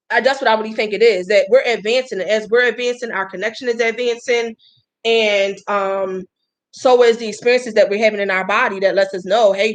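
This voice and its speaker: Woman, thirties, live-stream host, chatty and folksy, higher pitch. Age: 30s. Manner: chatty, folksy, addresses viewers as y'all. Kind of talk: casual live-stream talk.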